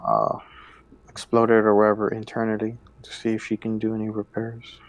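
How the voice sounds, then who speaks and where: A man's voice: fairly low pitch, monotone, moderate pace, low-quality recording. A man talking casually as a player in a tabletop roleplaying game.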